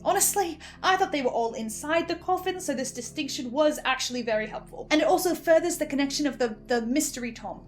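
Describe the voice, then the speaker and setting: A woman giving first-person commentary on an audio drama as host, animated and self-questioning in a halting way. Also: sits high, faint music behind. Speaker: host of a podcast listener recap series.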